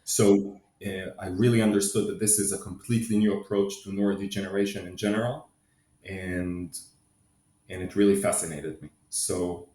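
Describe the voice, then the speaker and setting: A man who grew up in Israel, answering interview questions in a measured, thoughtful way with frequent restarts. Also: sits low, steady tone, mellow, echoey room. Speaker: guest, company CFO.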